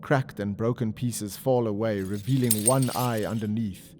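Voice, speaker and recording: Low-pitched man narrating over music. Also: unhurried. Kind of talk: scripted dramatic story narration. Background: music and ambience.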